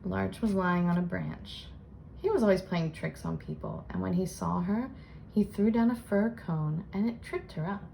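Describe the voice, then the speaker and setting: A woman reading a children's storybook aloud in an expressive storytelling style, as host. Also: fairly low pitch, normal speed, gravelly, slight background noise, poor audio. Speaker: children's book reader and channel host.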